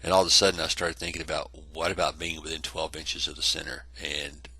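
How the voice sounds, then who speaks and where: A man's voice: a bass voice, little pitch movement, fast, vocal fry, bright, forceful tone, husky. A congested man casually narrating a tabletop wargame battle report, rambling with many fillers. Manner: rambling, self-correcting, lots of fillers.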